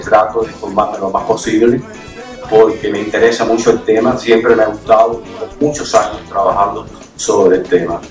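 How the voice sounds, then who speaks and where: Older Cuban man, hesitant, low voice. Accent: Cuban Spanish. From Cuba. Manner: humble, hesitant, many fillers. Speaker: painter speaking at an event. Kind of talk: public talk with hesitations. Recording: echoey room from far off, music behind.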